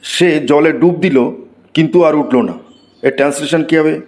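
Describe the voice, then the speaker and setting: A man voicing an excitable, exasperated schoolteacher who scolds and exclaims in a comic cartoon. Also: on the high side, brisk, lightly acted. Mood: quizzing. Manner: scolding, exclamatory, mock-praising. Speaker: cartoon voice actor. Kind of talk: comic Bengali cartoon dialogue.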